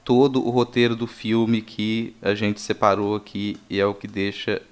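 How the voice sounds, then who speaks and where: A man's voice: on the low side, flat delivery, normal speed, clean tone. A man with a Brazilian accent hosting a podcast, talking in an informal, conversational way. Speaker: podcast host. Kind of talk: podcast sign-off and casual chat.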